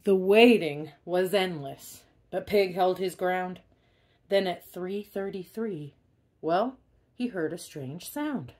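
A woman reading a children's picture book aloud in an expressive way, stressing key words. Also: lowish voice, slow pace. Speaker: storybook reader.

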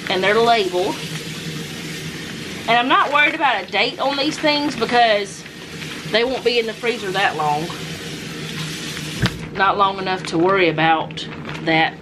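A woman's voice: mid-range voice, unhurried, long pauses, background noise. A woman vlogging while she cooks in her home kitchen, casually talking through each step as she thinks aloud. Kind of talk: casual cook-with-me narration.

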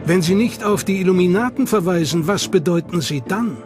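A man narrating a documentary in a measured, dramatic manner. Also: high-pitched. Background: music.

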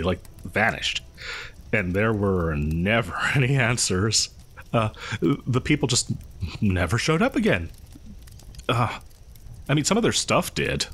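A man's voice: mid-range voice, a bit bright. A man playing a chatty, creepily friendly killer posing as a partygoer in a horror audio roleplay, rambling hesitantly and conspiratorially with chuckles. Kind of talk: horror audio roleplay, casual storytelling to listener.

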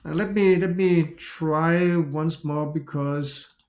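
A middle-aged man speaks English with a Danish accent in a slightly high voice, chatting casually as he walks through a screen-share tutorial. He thinks aloud and often restarts his sentences. The sound is roomy and echoey, from a home office. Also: fairly even intonation, takes it slowly, a little mellow. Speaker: SEO tutorial host. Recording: echoey room.

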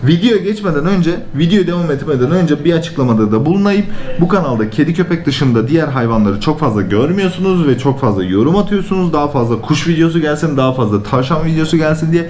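A man's voice: a little high, darkish tone. A man in his thirties hosting a vlog with casual, joking, teasing talk. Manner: playful, teasing. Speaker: vlog host at a vet clinic. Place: small indoor room and veterinary exam room. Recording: echoey small room, faint music.

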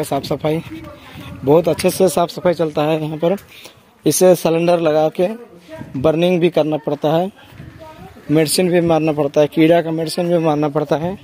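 A casual vlogger talks at a middling pitch. The explanations are plain and often repeat themselves. The phone recording from an open shed carries light noise. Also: dark tone, rough recording. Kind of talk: casual vlog narration, talking to viewers and a child. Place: large open-sided empty poultry shed. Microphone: handheld phone camera, operator behind it.

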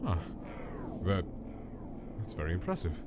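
A man playing a cold, stern scientist monster in an animated comic dub, speaking in a measured, formal and commanding way. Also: deep voice, background noise, heavily acted. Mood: quietly impressed. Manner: measured, formal, precise diction.

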